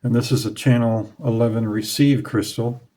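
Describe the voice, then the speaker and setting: A man in his forties speaks in a relaxed, plain-spoken way, like a hobbyist host looking back fondly. His voice sits at an ordinary middle pitch. It is picked up close by a clip-on mic in a small curtained room. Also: normal speed, background noise. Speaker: radio hobby channel host. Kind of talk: casual explainer to camera. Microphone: clip-on lapel mic.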